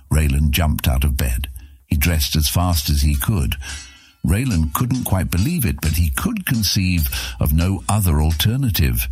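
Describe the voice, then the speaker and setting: A man narrating an epic fantasy audiobook in a dramatic, measured, suspenseful storytelling style. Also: deep voice.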